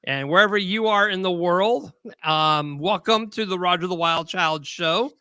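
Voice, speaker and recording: A man with a high, bright voice hosts a casual talk show, upbeat and chatty, now and then tripping over guests' names. He speaks close to a studio mic in a dry, dead room. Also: a little slow, clear, resonant tone, no room sound. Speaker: podcast host. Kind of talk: casual chat.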